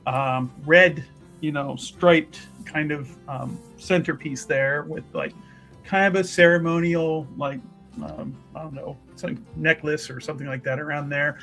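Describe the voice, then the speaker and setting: A male tabletop player improvises a description of his character. His voice sits a little high and he builds sentences hesitantly, piece by piece. Faint guitar music plays behind him. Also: fairly even intonation, measured pace, gravelly, fairly bright tone.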